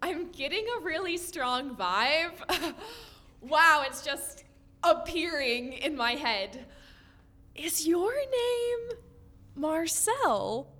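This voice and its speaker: A woman's voice: sits high, animated, extremely slow, pushed and bright, heavily acted. A woman playing a dramatic, earnest, lovestruck teenage girl in a comedic audio drama, gushing and theatrical. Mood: smitten, confiding. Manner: gushing, theatrical, exasperated with family.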